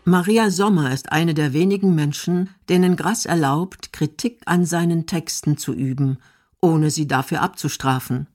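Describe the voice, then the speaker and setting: A woman's voice: low-pitched, moderate pace, a little mellow. A woman reading scripted narration for a radio documentary.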